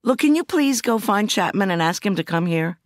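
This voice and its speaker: A woman's voice: medium pitch, lively intonation, a little fast, dry recording, studio quality, pitched above the performer's own voice, close to an everyday voice. A woman voicing a shaken, stubborn female prosecutor in an audiobook, insistent and clipped. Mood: indignant, insistent. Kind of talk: audiobook character dialogue.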